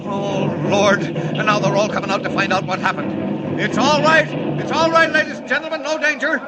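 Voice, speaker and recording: A man plays a bossy, excitable train conductor in an old radio drama. His voice is high for a man, folksy and blustering. Heavy noise covers the 1940 recording. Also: moderate pace, lightly acted. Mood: alarmed. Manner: blustering, folksy, repeats commands. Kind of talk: 1940s radio serial acting.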